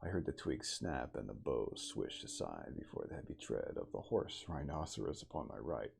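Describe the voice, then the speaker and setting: A man reading an adventure story aloud in a deliberate, dramatic ASMR style. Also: very deep voice, sing-song, creaky, darkish tone. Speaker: audiobook reader.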